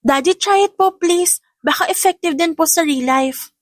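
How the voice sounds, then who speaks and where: An eager little child in an audio love story, put on by a woman narrator. The voice is raised high, sweet and pleading. It has a cheerful, childlike manner and is recorded dry. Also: normal speed, dry recording. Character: eager, sweet five-year-old children, girls and boy.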